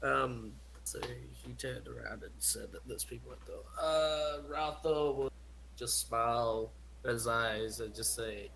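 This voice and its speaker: A man player with a hoarse, half-lost voice, speaking quietly and apologetically. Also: mid-range voice, fairly even intonation, measured pace, a little hiss or hum, low-quality recording.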